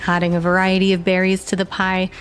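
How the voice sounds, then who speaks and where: A woman's voice: lowish voice, completely flat delivery, fast talker. A woman narrating a video essay with a home cooking segment, from the United States, with a dry, sarcastic way of talking. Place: home kitchen.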